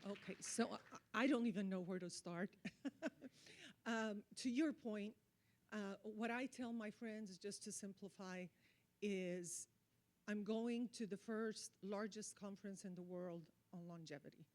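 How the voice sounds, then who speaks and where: Woman speaking deliberately and emphatically. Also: medium pitch. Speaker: audience speaker, marketing expert. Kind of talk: earnest impromptu remarks at a conference.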